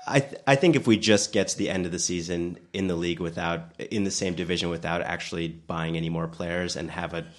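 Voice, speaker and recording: A deep-voiced man talks quickly on a football podcast. He lays out his predictions in a calm, measured way. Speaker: podcast panelist. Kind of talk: casual football podcast chat.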